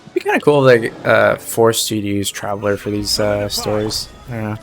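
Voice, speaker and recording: A man's voice: lowish voice, a little fast, creaky. A man streaming a game with casual, slangy commentary, muttering asides to himself. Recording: game music behind the voice.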